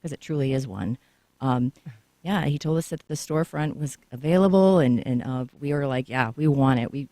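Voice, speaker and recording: A woman's voice: a bass voice, moderate pace, dark tone, no echo. Talkative woman guest in dry studio. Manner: talkative, lengthy storytelling answers. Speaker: co-founder guest. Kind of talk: radio interview answers. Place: radio studio.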